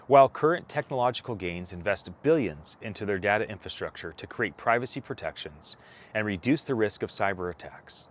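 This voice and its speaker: A man reading a formal document aloud as scripted narration, enunciating deliberately. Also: lowish voice.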